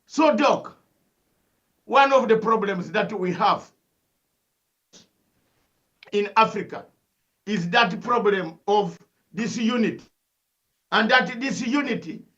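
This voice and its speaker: Older man, Ugandan accent, very high-pitched, emphatic and preachy, dull lo-fi line. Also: slow pace, pauses often, a bit bright. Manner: emphatic, preachy, full of rhetorical questions and repetition. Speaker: analyst guest. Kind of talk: impassioned interview commentary.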